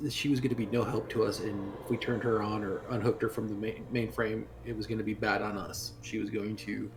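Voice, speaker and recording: A man playing a tabletop game, earnestly explaining his reasoning. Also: lowish voice. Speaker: player. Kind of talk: casual tabletop chat.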